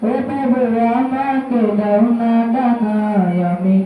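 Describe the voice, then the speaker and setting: A woman singing a devotional Islamic song in a drawn-out, melodic manner. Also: a little high, narrow, tinny audio, soft background music. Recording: heavy echo, distant, backing sound.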